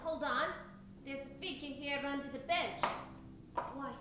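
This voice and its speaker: A woman's voice: sits high, fairly even intonation, measured pace. A woman playing a feisty, folksy, suspicious character in a comic old-time radio mystery, with bold, ungrammatical talk. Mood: alarmed, curious. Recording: echoey stage, distant mic, clear background noise.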